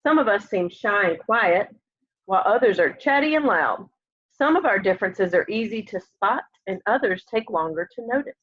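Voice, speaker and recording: Clear-voiced woman reading aloud, slightly muffled. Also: medium pitch, expressive, moderate pace, a bit bright. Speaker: storybook reader. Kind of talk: children's book read-aloud. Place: room at home, by a window. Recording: slightly dull, lo-fi home webcam sound.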